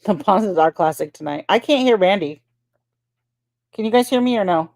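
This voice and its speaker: A woman's voice: medium pitch, animated, moderate pace, darkish tone, dry recording, clean studio sound. A woman co-hosting a livestream, talking in a sarcastic, profane, squeamish way. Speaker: livestream co-host. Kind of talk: casual livestream banter.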